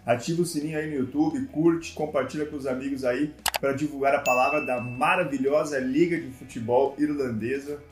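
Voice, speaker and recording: A man's voice: mid-range voice, fairly even intonation, brisk, hardly any pauses, over music. A man from Brazil co-hosting a casual football podcast, talking with enthusiasm and many fillers. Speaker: podcast co-host. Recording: echoey room.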